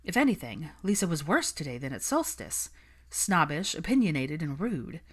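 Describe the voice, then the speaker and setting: A woman's voice: low voice, big pitch swings, normal speed, slightly rough. A woman reading a story aloud as a scripted narrator. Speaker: fan fiction narrator.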